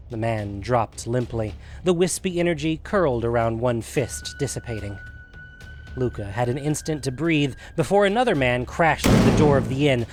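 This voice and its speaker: Male fantasy narrator over faint music. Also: medium pitch. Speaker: narrator of a fiction podcast. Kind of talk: scripted fantasy story narration.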